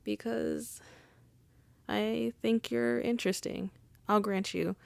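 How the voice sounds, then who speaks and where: A woman's voice: mid-range voice, gravelly, no echo. A woman playing a wry, charming female mafia boss in an intimate ASMR roleplay, conversational and teasing. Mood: admiring, flirty. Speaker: audio roleplay voice actor.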